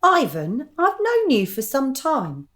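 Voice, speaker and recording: An actress playing a sceptical member of an amateur club, forthright and argumentative, in a radio comedy drama. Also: female voice, sits high, wildly swooping pitch, takes it slowly, fairly bright tone, full character voice.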